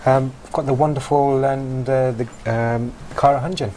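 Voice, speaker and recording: A man's voice: medium pitch, steady tone, normal speed, warm tone, slight background noise. A man hosting a talk show, interviewing guests in an informal, hesitant manner with many fillers.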